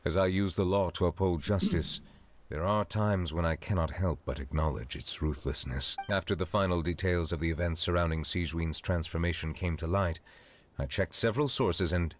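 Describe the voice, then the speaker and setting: Man playing a very deep, dignified old judge. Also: lightly acted. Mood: solemn, courteous. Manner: formal, measured, stately. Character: ageless, dignified chief judge, formal and reserved. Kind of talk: video game dialogue acting.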